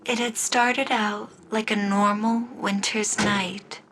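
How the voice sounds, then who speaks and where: A woman narrating a scripted first-person story in the style of an old-time radio show, with deliberate storytelling phrasing. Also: medium pitch, little pitch movement, a bit bright, slight background noise. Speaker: writer and narrator of an audio story.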